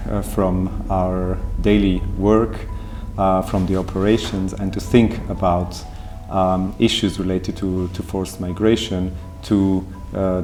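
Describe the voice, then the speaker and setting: A man's voice: deep voice, darkish tone. A man from Switzerland who works in humanitarian aid, speaking in a seated interview and searching for his words. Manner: searching for words, repeats himself. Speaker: aid donor staffer. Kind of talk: interview testimony. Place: indoor room with curtain backdrop.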